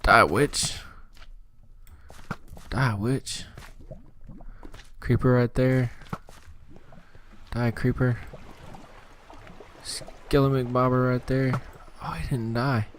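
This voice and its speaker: A man with a slightly hoarse throat giving easygoing, casual commentary on his own gameplay and talking himself through each task. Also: mid-range voice, fairly even intonation, very slow, pauses often, fairly bright tone, slight background noise. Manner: easygoing, talks to himself while playing. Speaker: gaming channel host.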